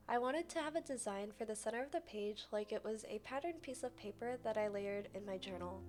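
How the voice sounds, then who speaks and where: A woman's voice: medium pitch, a little mellow. A young woman giving a chatty, scripted voice-over as the narrator of a craft video. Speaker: journaling video creator and narrator. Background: background music.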